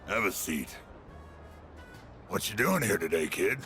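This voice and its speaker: An actor playing a cranky old man in a video game, a grouchy, folksy elder with a gravelly scolding voice. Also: low voice, sing-song, unhurried, pushed and bright, faint music behind, exaggerated voice. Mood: grumpy.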